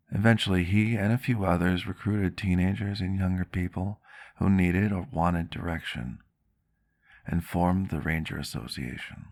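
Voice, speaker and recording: A man playing a gentle, earnest vampire doctor in an ASMR roleplay, speaking in a measured, reflective and rather formal way with a gravelly voice. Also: low-pitched, full character voice. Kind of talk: ASMR roleplay, soft intimate storytelling to the listener.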